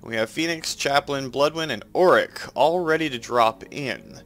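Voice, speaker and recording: A stuffed-up, nasal Canadian man talks through a game at an even middle pitch, casual and chatty. He explains each choice as he makes it, with soft music running underneath. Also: normal speed. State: head cold. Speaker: let's play host. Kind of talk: casual gameplay commentary.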